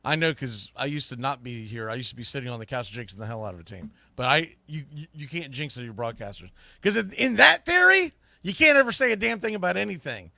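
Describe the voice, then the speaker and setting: A man's voice: mid-range voice, expressive, brisk, gravelly, sharp tone, no echo. An American man hosting a baseball podcast, giving emphatic, opinionated, folksy off-the-cuff commentary. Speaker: baseball podcast host and broadcaster.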